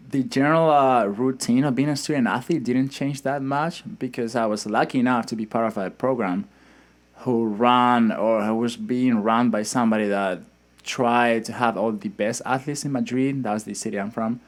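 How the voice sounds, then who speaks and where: A young man from Spain, a swimmer guest in a casual interview, talking in a relaxed, hesitant way with many fillers and restarts. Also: medium pitch, measured pace. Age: young adult.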